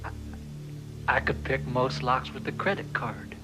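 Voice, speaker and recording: An actor playing a cocky, matter-of-fact burglar in a commercial. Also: male voice, medium pitch, expressive, unhurried, over loud music, natural voice, rough recording.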